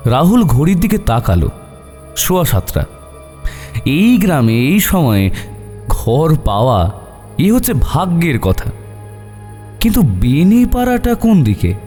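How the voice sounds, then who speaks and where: A man reads a ghost story in dramatic, suspenseful style. His warm, dark-toned voice swings expressively and carries a long reverb, with eerie music and crickets behind it. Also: on the high side, huge pitch swings, unhurried, long pauses. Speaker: horror story narrator. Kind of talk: dramatic scripted narration.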